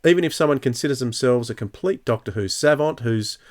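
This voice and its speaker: A man with a medium-pitched voice talks as a podcast host. He lays out his opinions in a measured, considered way, keeping it conversational. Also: animated, a little mellow. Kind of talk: casual podcast discussion.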